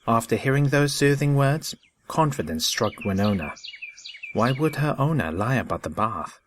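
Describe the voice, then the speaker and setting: A man's voice: mid-range voice, animated, moderate pace, slight background noise. A man narrating a scripted story in a storybook style.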